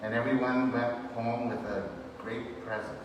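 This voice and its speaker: A man's voice: medium pitch, steady tone, normal speed, lo-fi sound. A man from Illinois, a distillery owner, telling stories in a friendly way with many fillers. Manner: friendly, storytelling, hesitant fillers. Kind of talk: casual interview answers. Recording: echoey hall from far off with noise.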